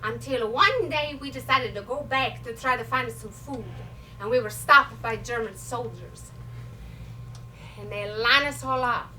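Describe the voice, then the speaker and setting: A woman playing a feisty, stubborn elderly Italian immigrant grandmother in a comic stage monologue, speaking blunt, halting broken English with an Italian accent. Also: a little high, pushed and bright. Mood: storytelling. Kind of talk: live comedic stage monologue. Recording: distant mic, noisy live room.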